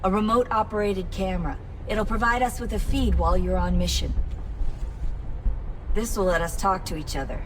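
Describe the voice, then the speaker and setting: An actress playing an upbeat young female technician guiding a teammate through a mission, speaking in a brisk, practical way, in video game dialogue. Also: medium pitch, a little hiss or hum, close to an everyday voice.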